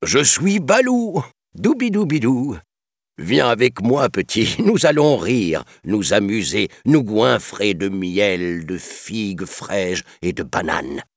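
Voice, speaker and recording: A man's voice: lowish voice, very animated, slow pace, no room sound, clean studio sound, pitched above the performer's own voice, exaggerated voice. A man voicing a big, jolly, carefree bear in a children's storybook, playful, bouncy and relishing the words.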